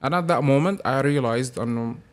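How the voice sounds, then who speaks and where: A man in his thirties from Sudan, a podcast guest talking in a relaxed, easygoing way and laughing often. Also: medium pitch, moderate pace. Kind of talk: casual chat.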